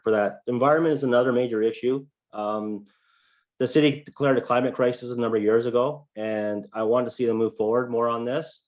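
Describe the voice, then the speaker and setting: A man with a Canadian accent answering as a council candidate, measured and hesitant, often repeating himself. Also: medium pitch, darkish tone, highs cut off.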